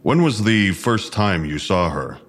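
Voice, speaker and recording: Male detective for audio drama: very low voice, formal, clipped, drily sarcastic interviewer. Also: big pitch swings, a little slow. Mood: formal, probing.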